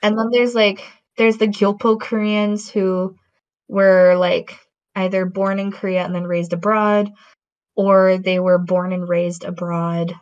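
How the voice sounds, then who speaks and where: A woman's voice: mid-range voice, little pitch movement, unhurried. A woman in casual conversation who rambles, with many fillers and restarts. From Latin American heritage. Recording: dull lo-fi audio.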